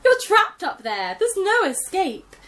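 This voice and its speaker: Brief: a woman doing a bold, loud young hunter barking orders in a storybook. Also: very high voice, huge pitch swings, slow pace, pitched above the performer's own voice, exaggerated voice. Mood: commanding. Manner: loud, commanding shouts. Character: brash young man hunting a wolf.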